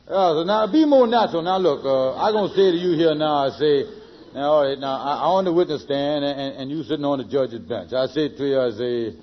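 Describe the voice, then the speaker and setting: Man acting flustered, high-voiced radio sitcom schemer. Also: brisk, close to an everyday voice. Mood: flustered, explaining. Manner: stammering, repetitive, flustered, folksy ungrammatical speech. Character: excitable, bumbling male schemer in a radio sitcom. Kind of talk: old-time radio comedy acting. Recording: dull, faintly noisy vintage radio recording.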